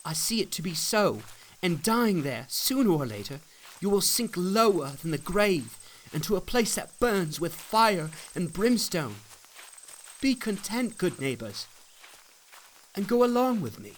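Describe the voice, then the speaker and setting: A man's voice: high-pitched, very animated, unhurried, a little hiss or hum, voice pushed up, poor audio. A man voicing an earnest, fervent pilgrim who exhorts and pleads in solemn archaic speech, in a dramatic storybook reading. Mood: fervent, pleading. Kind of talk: dramatic reading of an old allegory.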